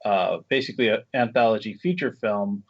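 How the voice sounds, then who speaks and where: A man being interviewed as a guest screenwriter and director, answering in a relaxed way with frequent ums and restarts. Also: fairly low pitch, fairly quick, no echo, dull audio. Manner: relaxed, many fillers and restarts.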